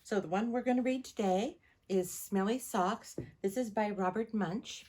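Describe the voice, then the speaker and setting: A woman reading a picture book aloud to children in an expressive, animated storytelling style. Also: mid-range voice, moderate pace. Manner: animated, repeats sound words.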